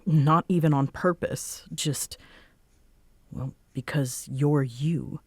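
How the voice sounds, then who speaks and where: A woman acting a guarded, grudgingly warm and easily flustered young tsundere roommate in a roleplay audio drama, with halting, wry delivery. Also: deep voice, breathy edge, dry recording, exaggerated voice. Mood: grudgingly warm, teasing.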